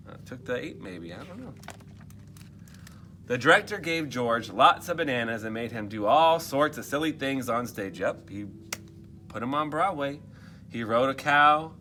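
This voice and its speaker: A man's voice: mid-range voice, bright, forceful tone, slight background noise. A man reading a picture book aloud to children, expressively, dropping into casual muttered asides and questions for his listeners. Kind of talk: animated storybook read-aloud with casual asides.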